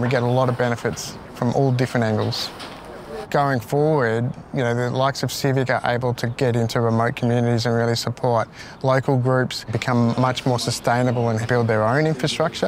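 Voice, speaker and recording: Manager speaking on a work site. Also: male voice, mid-range voice, a little hiss or hum. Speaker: company manager.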